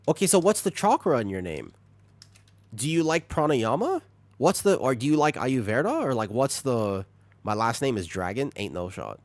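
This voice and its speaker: A man streaming a video game, chatting with viewers, reacting with excited high bursts and then dropping into quiet asides. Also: normal speed, no room sound. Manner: animated, jumps into high excited bursts while reading chat; drops to low, quiet asides while setting up. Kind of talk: live-stream chat while gaming.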